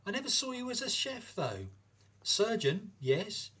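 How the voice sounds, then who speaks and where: A man's voice: high voice, very animated, a little slow, bright, forceful tone, full character voice. A man playing a genial, bantering young friend in a radio drama. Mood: jovial, teasing. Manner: jovial, bantering. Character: genial, teasing young male friend of scientist. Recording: slightly noisy with clicks.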